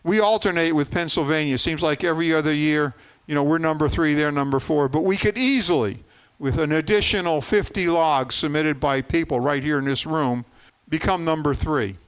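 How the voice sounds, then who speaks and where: Clear, higher-pitched man giving a club talk. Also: moderate pace, low-quality recording. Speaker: club presenter. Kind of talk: informal slide presentation to a club meeting.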